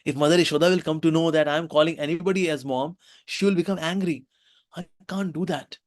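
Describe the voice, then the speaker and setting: A man's voice: fairly high pitch, fairly even intonation, a little fast, no echo, clean studio sound, natural voice. A man doing a sweet small boy god. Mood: gentle.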